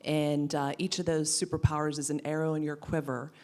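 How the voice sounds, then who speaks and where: A woman's voice: low-pitched, level tone, a little fast, a little mellow. A woman on a career panel who speaks earnestly about resilience and burnout. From western Pennsylvania, US. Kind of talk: panel discussion.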